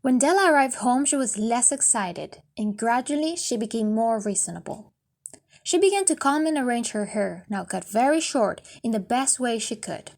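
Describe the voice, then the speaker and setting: Higher-pitched woman reading a story. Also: animated, moderate pace, darkish tone. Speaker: audiobook reader. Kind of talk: scripted story narration for learners.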